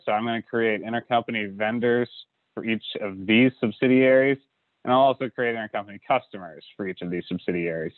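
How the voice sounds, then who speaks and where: A man giving a relaxed screen-recorded software walkthrough, with lots of uh and you know. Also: on the low side, no room sound. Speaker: software instructor. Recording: dry tinny lo-fi audio.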